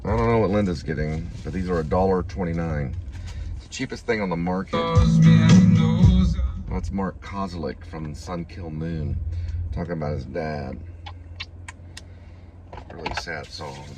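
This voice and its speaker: A man's voice: low voice, measured pace, long pauses, music in the background. A man from Texas chatting casually as a vlogger, musing in a dry, wandering way. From Texas, United States. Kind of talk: casual rambling vlog chat.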